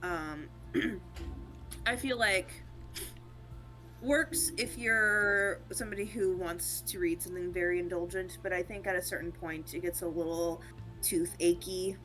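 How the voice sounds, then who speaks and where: A woman's voice: fairly low pitch, fairly even intonation, a little slow, a bit bright, faint music behind, poor audio. A woman streaming a game and commenting casually among friends, in a loose conversational way.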